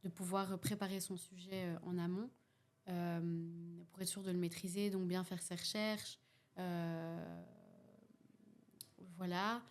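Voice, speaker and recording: A woman's voice: lowish voice, level tone, slow. A young woman with a Belgian French accent in a casual podcast discussion, speaking hesitantly with many fillers and restarts. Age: young adult. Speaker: podcast participant, youth workshop facilitator.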